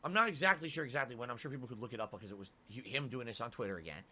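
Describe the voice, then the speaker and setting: A man's voice: medium pitch, racing, bright, forceful tone, slightly rough. A man telling a rambling story on his livestream. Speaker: streamer. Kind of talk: clip from a livestream.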